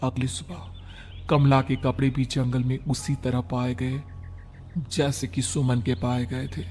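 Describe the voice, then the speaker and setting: A man narrating an animated horror story in a dramatic, storytelling manner. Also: medium pitch, unhurried, noisy background.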